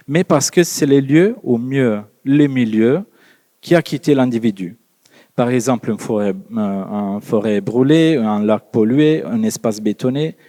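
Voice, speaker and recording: A man's voice: mid-range voice, dark tone. A man with an Italian accent reading a prepared academic talk, careful and hesitant, often correcting himself. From Italy. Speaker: lecturer. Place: conference hall. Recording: long hall reverb.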